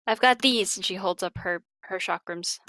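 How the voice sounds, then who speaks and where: A woman's voice: mid-range voice, normal speed, sharp tone, no echo. A woman improvising in character as a player in a tabletop roleplaying game.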